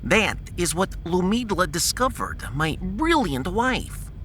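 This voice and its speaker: A man's voice: high-pitched, big pitch swings, takes it slowly, a bit bright, slight background noise, voice pushed up, exaggerated voice. A man playing a proud, pedantic scientist in a science fiction audio drama, long-winded and dropping his articles. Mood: explaining.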